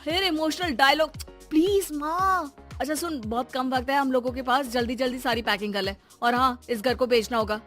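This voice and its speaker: एक महिला हिंदी कहानी में बेसब्र, रूखे जवान बेटे का किरदार निभा रही है, झिड़कने और टालने वाले लहजे में।. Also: female voice, sits high, normal speed, a bit bright, faint music behind. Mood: hurried, impatient. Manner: curt, scolding, exasperated. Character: grown son, impatient, dismissive, cold toward his mother. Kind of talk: Hindi moral story dramatisation.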